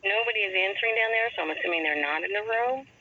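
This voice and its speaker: Raspy, fast-talking female dispatcher on phone. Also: mid-range voice. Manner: businesslike. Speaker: police dispatcher. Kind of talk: procedural phone call. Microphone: telephone. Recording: muffled radio-like phone line.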